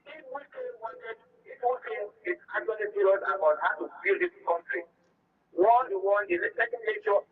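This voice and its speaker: Male phone-in caller, Nigerian accent, animated swooping intonation, halting, narrow telephone sound. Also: sits high. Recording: thin phone line, distant.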